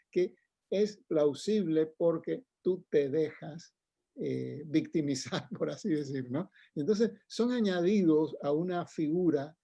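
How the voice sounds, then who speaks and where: A man's voice: fairly high pitch, creaky, dark tone, no room sound. An older man, a poet and retired professor, speaking casually and digressively at an online book launch. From Cuba. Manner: chatty, digressive, frequent laughs and tags like "¿no?".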